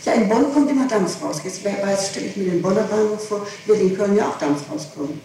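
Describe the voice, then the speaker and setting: A woman gives hesitant, evasive testimony, doubling back on her phrases. Her voice is soft-edged and mellow. It comes from a distance on an archival tape, in a very reverberant room with faint noise. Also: mid-range voice, lively intonation, fairly quick. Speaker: defendant being questioned. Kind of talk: courtroom testimony. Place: large echoing room, distant mic. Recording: echoey, noisy archival tape from far off.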